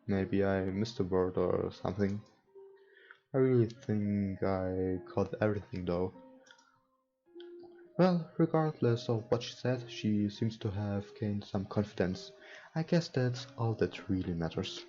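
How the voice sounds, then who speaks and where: A non-native English-speaking man hosting a let's play, reading game text aloud and commenting casually in a hesitant, halting way. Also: on the low side, mellow. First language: not English. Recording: dull lo-fi mic, music behind. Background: game music.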